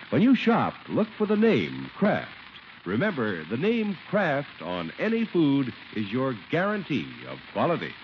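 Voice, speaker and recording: A man's voice: medium pitch, slight background noise. An American man announcing a 1950s radio show, reading scripted sponsor copy and narration with polished emphasis. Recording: muffled old radio broadcast. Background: music.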